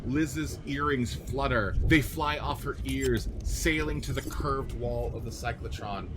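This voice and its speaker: A man's voice: medium pitch, measured pace, noisy background. A man narrating the action of a scripted radio play in a dramatic manner. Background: music.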